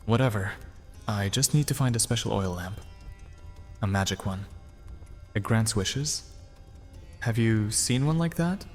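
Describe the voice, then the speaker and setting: A man's voice: on the low side, lively intonation, measured pace, long pauses. A man playing a guarded, impatient wandering thief in a fantasy audio drama, speaking in a terse, wary, dismissive way. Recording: long cavernous reverb, music underneath. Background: music.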